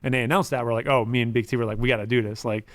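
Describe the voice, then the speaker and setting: A man of about thirty whose first language is French rattles through stories at a fast clip, peppering them with "like" and "um". His voice sits at an ordinary pitch for a man. The sound is close and dry, with no room around it. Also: steady tone, no echo. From Montreal, Canada. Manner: rapid storytelling, full of "like" and "um". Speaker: podcast guest. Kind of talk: casual podcast interview.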